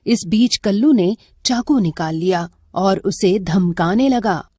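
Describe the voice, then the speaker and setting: Female storyteller for dramatic tales: unhurried, soft dark tone, dry close recording. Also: mid-range voice, expressive, no echo. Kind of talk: scripted dramatic story narration.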